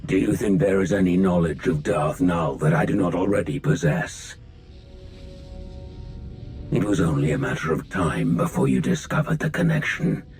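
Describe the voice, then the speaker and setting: A man playing an imprisoned dark-lord villain in a video game, grand, menacing and contemptuous, speaking in slow, solemn declarations with a deep, gravelly voice.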